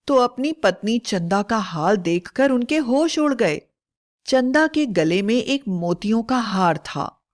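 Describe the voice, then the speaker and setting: A woman tells a scripted story for children. Her pace is slow and deliberate, in a medium-pitched voice, as a storyteller narrating a moral tale.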